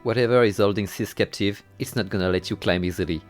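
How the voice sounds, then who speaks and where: A man with a lowish voice narrates a horror video game as a scripted story. He tells it dramatically and slips into wry, exasperated commentary. Faint music plays behind him. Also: fast talker.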